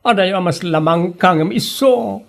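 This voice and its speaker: A woman's voice: lowish voice, measured pace, creaky. A woman playing a prying, sympathetic village friend in a comedy audio drama, questioning and a little wry. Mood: wry sympathy. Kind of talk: Ilocano comedy radio drama acting. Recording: faint music under the voice.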